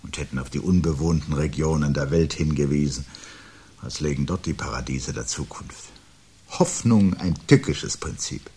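An actor playing a weary, sardonic husband in a 1970s German radio drama, speaking deliberately and rhetorically. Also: male voice, very deep voice, darkish tone, husky, heavily acted. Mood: bitter irony. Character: weary, bitter, sardonic husband awaiting catastrophe.

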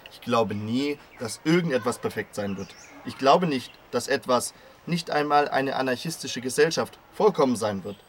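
A man playing an earnest, intellectual anarchist father who argues in measured, formal phrases in a scripted radio play. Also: medium pitch, lively intonation, close to an everyday voice. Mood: reflective, measured. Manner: measured, argumentative, formal wording. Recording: clear background noise and ambience.

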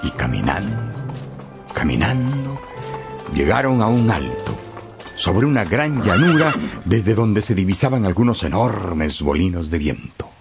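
Narrator: man, lowish pitch, very expressive theatrical storytelling over a prominent music bed. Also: a little slow, gravelly. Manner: theatrical, emphatic repetitions. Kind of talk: dramatic scripted storytelling narration.

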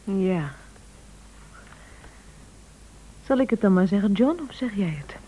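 Vrouw speelt levendige, sluwe echtgenote met wat hese stem. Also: female voice, mid-range voice, lively intonation, a little fast, warm tone, natural voice, rough recording. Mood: conspiratorial. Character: shrewd, scheming, persuasive wife, practical and bossy. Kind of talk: Dutch radio play acting.

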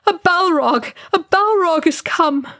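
A panicked elf, acted by a woman wails in a very high voice. It is a dramatic storybook performance, close and dry. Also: lively intonation, slow, a bit bright, no room sound, exaggerated voice. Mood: terrified. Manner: high wailing. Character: panicked, wailing male elf. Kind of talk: dramatic storybook character reading.

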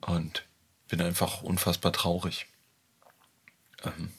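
A man recording a halting voice diary while in pain, sounding subdued and lonely. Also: low-pitched, completely flat delivery, a bit bright, husky. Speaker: audio diary speaker. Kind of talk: confessional voice diary.

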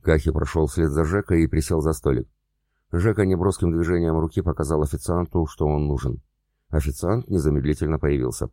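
A man reading a novel aloud as a steady audiobook narrator, voicing the characters with little change. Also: very deep voice, a little fast, no room sound, clean studio sound. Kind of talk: scripted narration.

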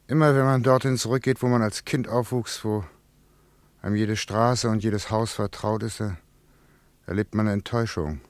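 A man playing a reflective working man in a German radio play, musing in halting phrases. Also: fairly low pitch, steady tone, no room sound, lightly acted. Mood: wistful musing. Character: Ludwig, a reflective working man returned to his childhood town.

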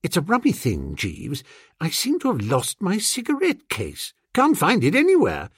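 A man voicing an amiable, dim young upper-class English gentleman in an audiobook, hesitant and full of old-fashioned exclamations. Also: on the high side, wildly swooping pitch, normal speed, husky, no room sound, studio quality, voice pushed up, heavily acted. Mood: rattled, chatty.

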